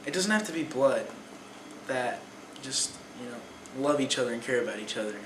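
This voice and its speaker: A man's voice: mid-range voice, animated, moderate pace. A teenage male theater student giving casual, hesitant, informal interview answers. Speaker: high school theater student. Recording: echoey room, clearly noisy.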